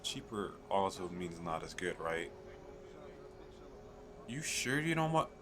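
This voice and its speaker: A man playing an eager, chatty fantasy merchant in an ASMR roleplay, pitching his magical wares to the listener in a coaxing, conversational way. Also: sing-song, slow pace, exaggerated voice. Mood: coaxing, reluctant. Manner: coaxing salesman, conversational, hesitant asides.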